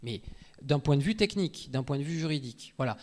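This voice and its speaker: A man's voice: on the high side, animated, fast talker. A man giving an informal talk as a guest legal expert, explaining and arguing his view with frequent asides and self-interruptions. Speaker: guest speaker, law researcher. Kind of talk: informal talk answering audience questions.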